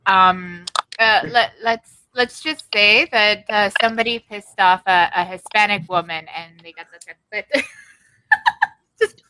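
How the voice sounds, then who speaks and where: A woman's voice: mid-range voice, slow pace, bright, forceful tone, rough recording. A woman in a casual group chat who tells rambling stories full of fillers. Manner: chatty, rambling, lots of fillers.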